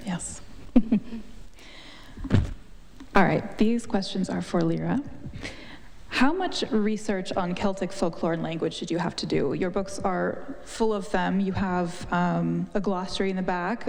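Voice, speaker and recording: A woman's voice: medium pitch, gravelly, a little mellow. A woman moderating a live author panel, asking questions in a friendly way. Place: event hall. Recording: reverberant hall.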